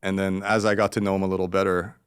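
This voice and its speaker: A man's voice: sits low, flat delivery, fast, clear, resonant tone. A man from Los Angeles, a podcast guest, telling stories in a casual, profane, rambling way with frequent restarts. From Los Angeles, Koreatown. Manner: acts out quoted voices. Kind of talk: casual storytelling.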